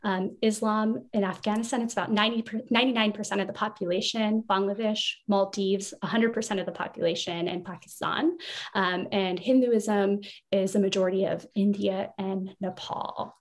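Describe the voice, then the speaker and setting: A woman from the United States hosting a podcast panel and explaining background facts at an even pace. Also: mid-range voice, normal speed. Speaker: podcast host, occupational therapist.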